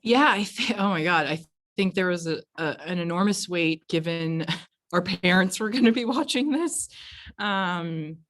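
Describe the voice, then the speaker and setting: A woman with an American accent speaks in a low register. She answers interview questions casually and reflectively, feeling her way through each thought with halting phrasing. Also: lively intonation, a bit bright. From United States. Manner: halting, reflective, frequent 'like'. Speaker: film producer being interviewed. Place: remote virtual event.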